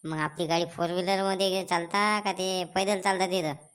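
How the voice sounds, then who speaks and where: Woman putting on a fussy cartoon village guy, bit low voice, pestering and complaining, varhadi marathi accent. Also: steady tone, normal speed, fairly bright tone, clear, resonant tone, close to an everyday voice. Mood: annoyed, cautious. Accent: Varhadi Marathi from Vidarbha. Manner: colloquial, complaining. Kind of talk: cartoon comedy dialogue.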